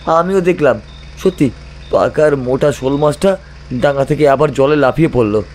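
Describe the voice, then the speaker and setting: A man's voice: mid-range voice, normal speed, darkish tone, a little hiss or hum, natural voice. A man playing an anxious young village son in an audio story. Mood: amazed.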